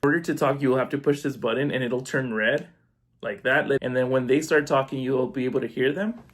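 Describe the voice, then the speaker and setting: A man's voice: mid-range voice, steady tone, fast. A man talking informally through a casual unboxing review, demonstrating step by step. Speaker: product reviewer. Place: indoors at home.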